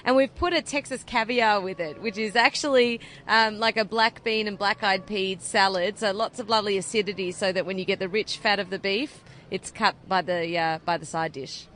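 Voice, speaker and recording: A woman's voice: mid-range voice, normal speed, few pauses, a bit bright, a little hiss or hum. A woman in her thirties with an Australian accent, explaining her barbecue cooking with enthusiasm in an outdoor interview at a food event. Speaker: barbecue cook.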